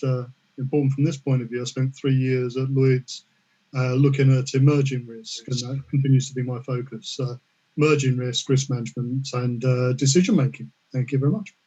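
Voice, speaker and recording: A middle-aged man from the UK speaks politely and hesitantly on a panel, with lots of fillers. His voice is dark and mellow. The sound is dull and lo-fi. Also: medium pitch, flat delivery, moderate pace. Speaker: panelist, risk management specialist. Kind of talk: online panel discussion. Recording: dull lo-fi audio.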